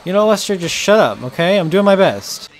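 A young man in his twenties gives sarcastic, bossy commentary as he plays. His pitch moves around a lot, and there is faint background hiss behind him. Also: very animated, brisk, poor audio. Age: 20s. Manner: bossy, sarcastic, swears freely. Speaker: gaming host. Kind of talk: casual gameplay chat.

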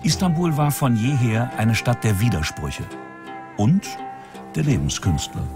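A man's voice: mid-range voice, very animated, measured pace, music in the background. A man with a standard German accent narrating a television documentary in a scripted style.

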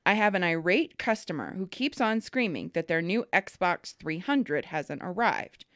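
A woman co-hosting a casual podcast, telling work stories with lively acted-out quotes and frank swearing. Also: on the low side, measured pace, dry recording, studio quality. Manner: animated storyteller, acts out callers and swears freely. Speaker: podcast co-host. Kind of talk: casual storytelling chat.

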